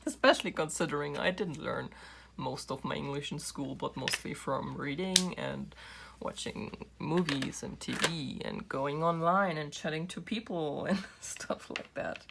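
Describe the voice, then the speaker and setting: Woman, German accent, thirties, low-pitched, casual vlog chat. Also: unhurried, creaky, very noisy. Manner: chatty, self-deprecating, laughs often. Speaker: craft channel host.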